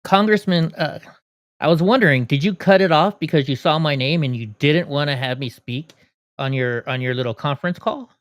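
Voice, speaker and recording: A man's voice: on the high side, normal speed, dry recording, muffled recording. A man venting angrily at a politician, swearing, then leaving a long, persistent voicemail complaint. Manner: heated, profane, persistent. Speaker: video creator reacting to a call. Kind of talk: angry voicemail and commentary.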